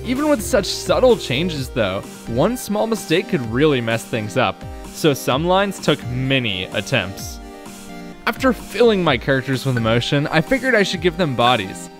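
A man's voice: medium pitch, very animated, normal speed, music in the background. A young man narrating a scripted vlog in a self-deprecating way. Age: young adult. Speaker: host, amateur game maker.

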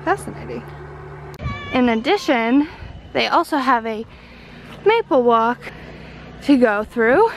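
High-voiced young woman vlogging enthusiastically. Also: lively intonation, slow, creaky, long pauses. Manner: enthusiastic, exclamatory. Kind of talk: casual travel vlog narration. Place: farm sugarhouse and outdoors. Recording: noisy on-location camera audio.

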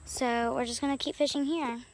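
A woman's voice: high-pitched, fairly even intonation, normal speed, a little hiss or hum. A female vlogger chatting casually outdoors by a small creek into a handheld camera, rambling with lots of fillers. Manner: rambling, filler-heavy, upbeat. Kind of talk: casual vlog chat.

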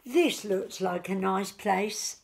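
A woman's voice: medium pitch, slow, vocal fry, a little hiss or hum. A woman voicing a cheerful, eager hen from a children's storybook in a sing-song, emphatic way.

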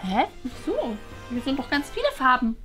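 A woman playing an excitable, chummy schoolgirl in a children's comedy skit, gushing and dramatic. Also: high-pitched, moderate pace, soft background music. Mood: puzzled.

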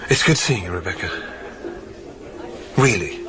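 A man's voice: sits low, animated, unhurried, crowd noise. A man playing an evasive, tired married businessman, hesitant and dryly polite, in a radio drama. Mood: polite, reluctant. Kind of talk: naturalistic radio drama acting.

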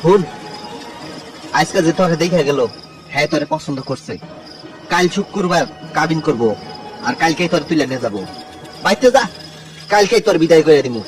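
A man's voice: fairly high pitch, close to an everyday voice. A man playing a stern, scolding village uncle who guards family honour, in an amateur drama with a Tangail Bengali accent. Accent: Tangail regional Bengali, Bangladesh. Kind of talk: amateur village love-story dialogue. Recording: distant recording with music behind.

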